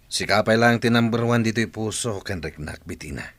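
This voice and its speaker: A man's voice: lowish voice, moderate pace, fairly bright tone, dry recording, natural voice. An actor playing a sweet-talking husband working abroad, coaxing his wife. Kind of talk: radio drama acting.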